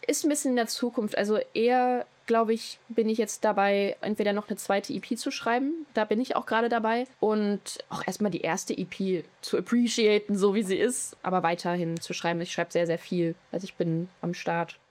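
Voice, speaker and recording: A woman's voice: medium pitch, steady tone, moderate pace, few pauses, darkish tone, no room sound. A young woman, a musician, chatting casually and openly in an interview, mixing youthful slang with English words. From Germany. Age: young adult. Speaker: musician, rapper and singer.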